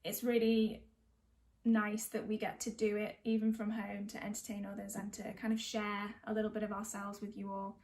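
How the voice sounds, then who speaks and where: A woman, a singer-songwriter chatting informally as she thanks online viewers and signs off. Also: fairly high pitch. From North West of England. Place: at home.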